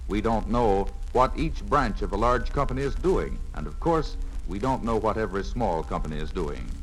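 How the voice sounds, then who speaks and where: An American man narrates a 1950 instructional filmstrip in a clear, methodical, teacherly way, reading from a script. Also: on the low side, rough recording. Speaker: training film narrator. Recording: vintage 1950 record, faint music.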